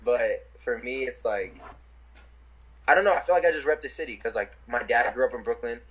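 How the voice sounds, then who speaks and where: Young new guy on a video call, kinda husky, lots of "like" and restarts. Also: mid-range voice, fairly quick, a bit bright, rough recording. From New York City. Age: young adult. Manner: rambling, lots of fillers and restarts. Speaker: interviewee, rapper. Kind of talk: casual chat.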